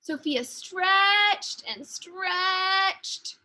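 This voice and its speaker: High, animated woman reading a storybook. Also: very slow. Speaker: second grade teacher reading aloud. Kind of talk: expressive children's story narration.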